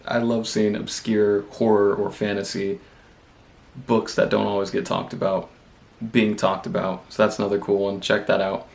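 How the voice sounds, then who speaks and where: A young man with a cold, congested, chatting casually and rambling in a relaxed, self-deprecating way as a book vlogger. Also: fairly low pitch, creaky. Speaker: booktube vlogger. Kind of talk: casual vlog chat.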